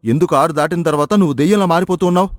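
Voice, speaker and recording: A man's voice: on the high side, very fast, no room sound, studio quality, natural voice. A man playing an earnest young husband and protective brother in a story, questioning and emphatic. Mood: alarmed, firm.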